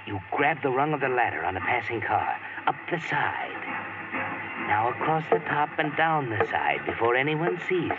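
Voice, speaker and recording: Brief: ominous radio-mystery narrator, low voice, tinny vintage broadcast. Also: male voice. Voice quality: low, measured, insinuating. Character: ominous male mystery narrator, knowing and taunting. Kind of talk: 1940s radio drama narration.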